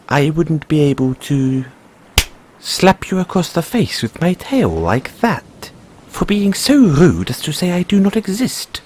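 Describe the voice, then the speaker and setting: A man's voice: mid-range voice, darkish tone, breathy edge, exaggerated voice. A man playing an earnest, cheery merman prince in an audio roleplay, speaking in a theatrical, exclamatory way with genteel, fussy politeness. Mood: haughty, apologetic. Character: young, cheery, dramatic, naively earnest merman prince of Atlantis. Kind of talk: audio roleplay addressed to the listener.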